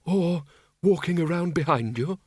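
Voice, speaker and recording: Man doing a high quavering old undead wizard. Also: very animated, measured pace, no echo, clean studio sound, heavily acted. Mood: dry. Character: elderly undead wizard man, quavering, anxious but polite.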